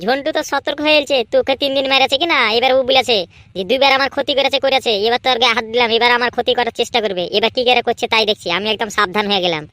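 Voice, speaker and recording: A woman's voice: on the high side, fairly even intonation, racing, a bit bright, close to an everyday voice. A woman voicing a needling young male sidekick in a comedy cartoon, scolding and full of questions. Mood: curious, wary.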